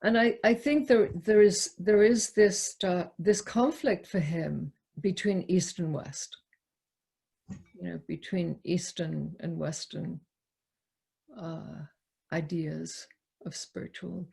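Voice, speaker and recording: A woman giving a poetry talk to a group, speaking in a halting, reflective way with repeated words and a husky voice. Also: on the low side, fairly even intonation, darkish tone. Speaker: poetry lecturer leading a discussion.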